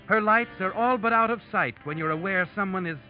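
A man's voice: sits high, lightly acted. Music plays behind a man acting an ominous narrator on a muffled vintage radio recording. He speaks directly to you, suspenseful and ironic. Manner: ironic, suspenseful, deliberate phrasing. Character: ominous, knowing male narrator addressing the guilty man. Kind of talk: dramatic second-person radio narration. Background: dramatic music.

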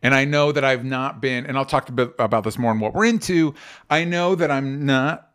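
A man's voice: medium pitch, a little fast, fairly bright tone, clean tone. A man co-hosting a casual podcast, rambling, with frequent restarts and fillers. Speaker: podcast co-host.

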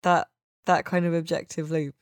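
A woman's voice: sits low, flat delivery, moderate pace, no room sound. A woman co-hosting a casual video game podcast, bantering with wry, dry humour. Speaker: podcast co-host.

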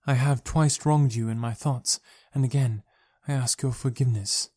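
A man's voice: mid-range voice, fairly even intonation, normal speed, darkish tone, no echo, studio quality, close to an everyday voice. A man reading aloud and voicing a noble, proud young alien princess who speaks earnestly and pleads. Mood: solemn.

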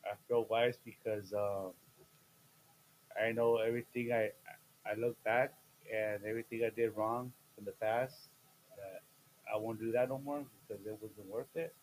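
A man in his fifties, a guest chatting casually on a podcast, playful and jokey, with sudden loud bursts and laughs mid-sentence. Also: lowish voice, steady tone, normal speed, pauses often, slight background noise, poor audio. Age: 50s. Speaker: guest, host's father.

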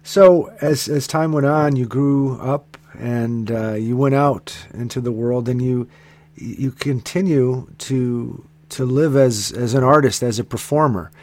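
A man hosting a radio interview, asking long measured questions with many fillers and restarts. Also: mid-range voice, a little mellow. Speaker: radio host.

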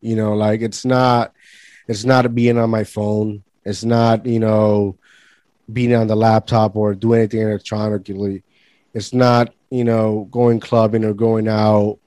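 A man's voice: fairly low pitch, level tone, measured pace, warm tone, no echo. A man in his thirties from Puerto Rico chatting informally, repeating words as he goes. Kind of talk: casual conversation.

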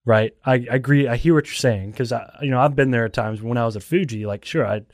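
A man's voice: medium pitch, fast, dry recording, studio quality. A man hosting a casual podcast conversation, asking questions in a loose, conversational way. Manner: conversational, questioning, with many "like" fillers.

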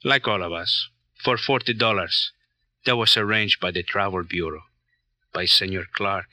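An actor playing a weary, resigned Puerto Rican immigrant man with a Spanish accent, speaking halting simple English in an old radio crime drama. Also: low voice, pushed and bright, no room sound. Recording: old radio recording, faint noise.

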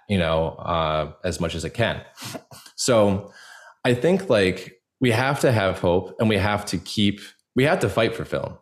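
A man speaking as an interview guest in a casual, earnest way, pausing often as he searches for words. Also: sits low. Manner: halting, searching, full of pauses and filler likes.

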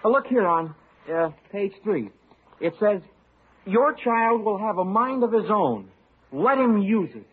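A man's voice: sits high, expressive, measured pace, breathy edge, full character voice. An actor playing an eager, earnest young husband and new father in an old radio sitcom, chirpy and bookish. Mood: earnest, enthusiastic. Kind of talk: 1940s-50s radio sitcom acting. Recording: tinny, muffled old radio recording.

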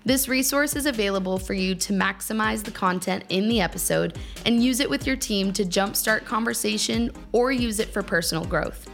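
A woman's voice: mid-range voice, moderate pace, gravelly, few pauses. Woman reading a podcast intro over music. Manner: bright, promotional read. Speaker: podcast announcer.

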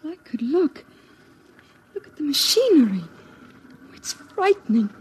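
High, anxious young woman. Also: very animated, unhurried, darkish tone. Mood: frightened. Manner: anxious, pleading. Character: frightened, trusting young woman aboard a starship. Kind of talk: 1950s radio drama acting. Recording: noisy old radio broadcast recording.

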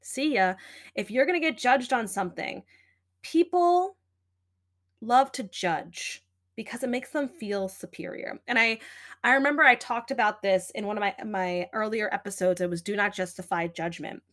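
A young adult woman hosting a solo podcast, talking in a loud, animated, emphatic way with plenty of fillers. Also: medium pitch, moderate pace. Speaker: podcast host. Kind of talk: casual solo podcast talk.

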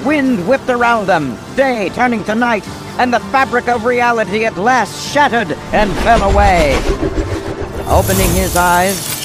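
High-voiced grave radio mystery narrator. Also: male voice. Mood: reflective. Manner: dramatic, descriptive, deliberate phrasing. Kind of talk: scripted old-time radio drama narration. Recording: music behind the narration.

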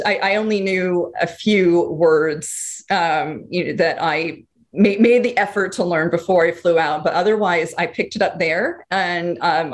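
A woman in her thirties with a fairly low voice tells her story as an interview guest. She talks in a loose, chatty way, full of fillers and restarts. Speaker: guest, screenwriter and former archaeologist.

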